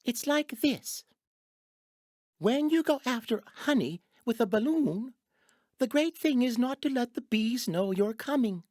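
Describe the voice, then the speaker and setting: A woman playing a gentle, naive, earnest storybook bear in a children's audio drama, musing in a slow-witted way. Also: on the high side, lively intonation, no echo, clean studio sound.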